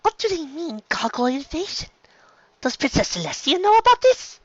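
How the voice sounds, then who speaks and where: A proud, fussy lady pony from a storybook, voiced by a man, refined and eager to sound in charge. The pitch is raised and very animated, the tone bright. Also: normal speed, dry recording, highs cut off, heavily acted. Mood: alarmed. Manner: prissy, refined, putting on authority. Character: prim, proud female pony envoy, ladylike and fussy.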